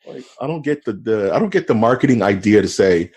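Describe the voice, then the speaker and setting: A man co-hosting a casual podcast, animated and joking, often calling his co-host "dude". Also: lowish voice, brisk, husky.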